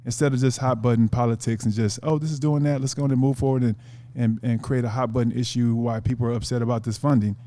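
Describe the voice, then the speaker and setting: A man's voice: medium pitch, fairly even intonation, quick pace, few pauses, dark tone, no room sound. A man, an author guest on a podcast, talking earnestly and casually, often repeating words as he thinks.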